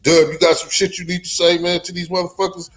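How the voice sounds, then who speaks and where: A man's voice: sits high, little pitch movement, fast talker, a little hiss or hum. A man hosting a casual podcast, signing off in laid-back slang and repeating "you know what I'm saying". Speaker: podcast host.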